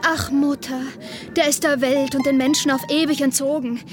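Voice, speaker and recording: A woman's voice: sits high, fairly even intonation, normal speed, background noise, lightly acted. A woman playing a distressed young princess in a radio opera, emotional and pleading. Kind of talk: theatrical radio opera dialogue.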